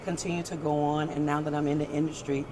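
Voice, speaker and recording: A woman's voice: low-pitched, flat delivery, fast. A middle-aged woman on a panel telling her career story in a matter-of-fact way. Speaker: aviation scheduler, panelist. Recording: busy crowd noise around the voice. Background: crowd chatter.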